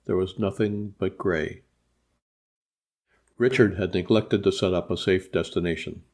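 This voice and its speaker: A man's voice: sits low, steady tone, measured pace, a little mellow. A man reading a short story aloud as scripted narration. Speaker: author reading their own short story.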